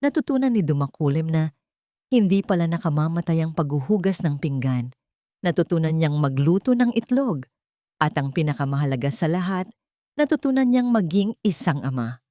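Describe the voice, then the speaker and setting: A woman's voice: low voice, very animated, normal speed, dark tone, no room sound. A woman narrating an audio drama in a dramatic scripted storytelling style.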